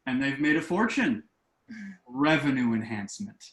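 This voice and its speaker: A man's voice: mid-range voice, animated, moderate pace, a bit bright, lightly acted. Man playing a glib grown son, roomy echo. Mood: smug, persuasive. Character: glib, enterprising grown son pitching shady business schemes. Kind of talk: stage-play acting in a virtual table read. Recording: roomy, echoing home recording.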